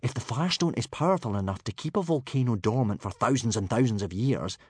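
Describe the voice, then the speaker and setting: A man's voice: mid-range voice, expressive, quick pace, slightly rough, no room sound. A man voicing an earnest, anxious young Viking boy hero in a children's audiobook. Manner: pleading, earnest.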